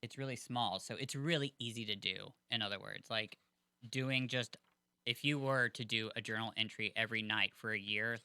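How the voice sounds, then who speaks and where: A man rambles through casual podcast chatter, dropping fillers as he goes. His voice is strikingly bright and smooth, recorded close and dry. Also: fairly low pitch, no room sound. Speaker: podcast co-host.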